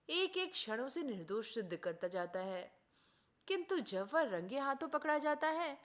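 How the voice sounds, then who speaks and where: A woman reading a literary short story aloud as an expressive audiobook narrator. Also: fairly high pitch.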